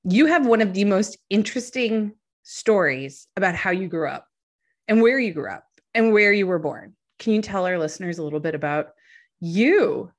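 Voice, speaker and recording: A woman's voice: medium pitch, lively intonation, normal speed. A woman hosting a podcast interview, friendly and teasing, laughing easily and affirming her guest. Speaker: podcast host. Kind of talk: casual interview chat.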